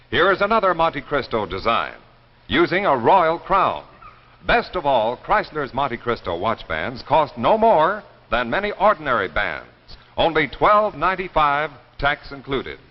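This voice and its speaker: An American man working as an announcer, with the polished, salesmanlike delivery of a 1950s television commercial. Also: a little high. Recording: muffled, echoey 1950s broadcast recording.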